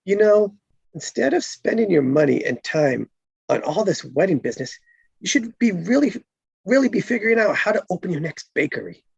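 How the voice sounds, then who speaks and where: A woman reading the part of a friendly, teasing police officer with a husky, creaky voice, in a casual table read. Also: on the low side, sing-song, normal speed, darkish tone, no room sound, dull audio, heavily acted. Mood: playful, encouraging.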